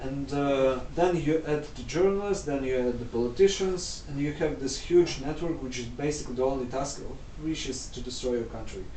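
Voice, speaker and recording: A man from Moldova whose first language is Russian, talking in a casual conversation with many halting restarts and repeated words. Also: medium pitch, mellow, reverberant, far from the mic, slight background noise, rough recording.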